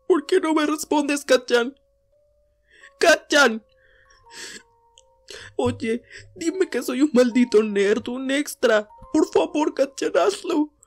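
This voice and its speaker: A woman playing a desperate, grieving teenage boy hero in an anime fandub drama, pleading in halting, repeated phrases. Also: high-pitched, darkish tone, heavily acted. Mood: panicked, tearful. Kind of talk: Spanish fandub audio drama, emotional acting.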